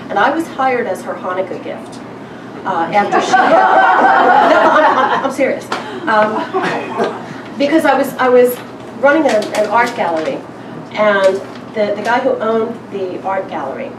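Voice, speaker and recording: A woman presenting a literary reading and chatting informally to an audience, speaking away from the microphone. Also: medium pitch. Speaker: publisher and editor presenting a reading. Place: live event room, away from the microphone. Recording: roomy, distant live recording with noise.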